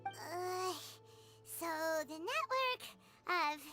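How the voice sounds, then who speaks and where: A woman voicing a small, excitable, childlike fairy companion in a video game, squeaky and exclamatory. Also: extremely slow, loud music behind the voice, exaggerated voice. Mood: confused, flustered. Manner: exclamatory, refers to self by name. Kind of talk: cartoonish video game acting.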